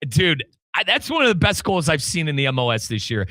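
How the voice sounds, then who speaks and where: Bright, high-voiced American man talking sports. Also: sing-song, a little fast, dry recording. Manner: emphatic, colloquial, addresses listeners and co-host directly. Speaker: sports show host and radio play-by-play commentator. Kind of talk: animated sports talk and match recap.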